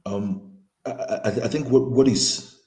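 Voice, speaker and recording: Male guest, very deep and mellow voice, soft-spoken, roomy remote audio. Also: slow. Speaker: panel guest. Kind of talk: casual football chat. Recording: quiet roomy remote line.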